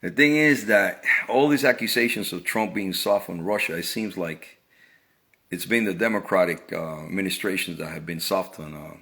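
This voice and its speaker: Middle-aged man from Cuba, deep voice; earnest off-the-cuff opinion piece, restarts phrases. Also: pushed and bright. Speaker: vlogger. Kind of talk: casual opinion monologue.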